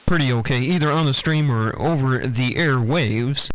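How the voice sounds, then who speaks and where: A man hosting a CB radio check-in, chatting casually in a halting, easygoing way. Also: medium pitch. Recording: hissy, clearly noisy background.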